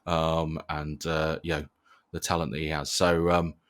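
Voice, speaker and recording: Podcast host, male, low voice, halting and wordy delivery in relaxed conversation. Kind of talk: casual podcast chat.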